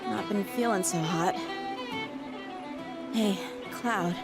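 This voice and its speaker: An actress playing a reserved, secretive woman in a video game, casual and understated, confiding haltingly. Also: medium pitch, over loud music. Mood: guarded, confiding. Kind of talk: video game cutscene acting.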